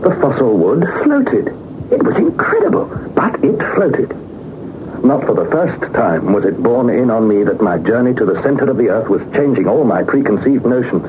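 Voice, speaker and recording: An actor playing an earnest young British adventurer who tells of a perilous journey in a dramatic, literary 1960s radio drama style. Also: male voice, mid-range voice, sing-song, moderate pace, natural voice. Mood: wondering. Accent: British English. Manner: dramatic, literary storytelling with rhetorical flourishes. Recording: muffled, noisy 1960s radio recording.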